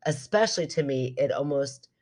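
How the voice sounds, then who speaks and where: A woman podcast host with a strikingly low voice for her sex. She chats casually and banters with a co-host, happy to argue a point in a playful way. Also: animated, moderate pace, a little mellow.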